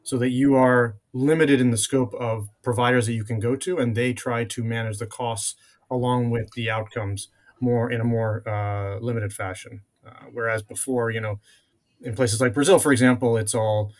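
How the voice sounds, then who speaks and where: A middle-aged man from France speaks as an interview guest, recounting his working life. He talks in a measured, unhurried way and leaves frequent filler pauses. His pitch sits in an ordinary male middle range. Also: fairly even intonation. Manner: measured, many filler pauses, occasional chuckle. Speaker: guest, venture capital investor. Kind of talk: conversational interview answers.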